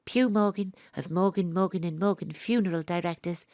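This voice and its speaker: A woman's voice: lowish voice, fairly quick, darkish tone, no room sound, clean studio sound, lightly acted. A woman playing a plucky, self-assured investigator, narrating her adventure as dramatic first-person storytelling in an audio drama. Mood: hushed, lyrical. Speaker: lead narrator.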